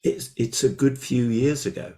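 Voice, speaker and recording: A man's voice: mid-range voice, moderate pace, dark tone. A man taking part in an online workshop over a video call, speaking earnestly and directly. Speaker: workshop participant. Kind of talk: casual personal sharing.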